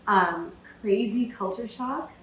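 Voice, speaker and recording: A woman from California, a podcast guest, telling her story in a lively, casual way with many "you know" fillers. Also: mid-range voice, takes it slowly, reverberant, slight background noise, low-quality recording. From California, USA. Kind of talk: casual interview conversation.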